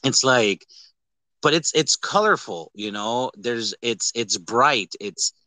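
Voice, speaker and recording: A man's voice: mid-range voice, animated, slow, bright, forceful tone, dry recording. A man chatting casually as a podcast host, rambling, repeating phrases and trailing off. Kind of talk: casual chat.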